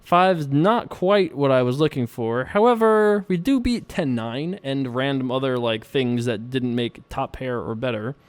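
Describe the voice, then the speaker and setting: A man's voice: mid-range voice, expressive. A young man giving casual, playful commentary over his online poker hands, joking and chuckling at himself as he thinks through each decision. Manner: jokey, chuckling, self-amused, drawn-out mock pondering. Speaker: poker vlogger.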